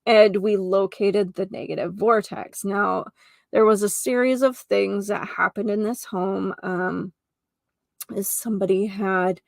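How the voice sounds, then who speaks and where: Female podcast host, mid-pitched with noticeable vocal fry, unhurried, conversational delivery, speaks straight to the listener. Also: a bit bright, dry recording, studio quality. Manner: conversational, emphatic, addresses listener directly. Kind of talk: casual solo podcast talk with anecdotes.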